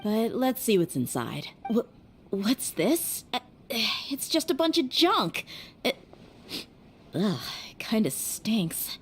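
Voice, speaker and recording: A woman's voice: fairly high pitch, sing-song, takes it slowly, slight background noise, full character voice. An actress voicing a blunt, exasperated tough woman in a video game, with a dry, grumbling manner. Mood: curious, puzzled.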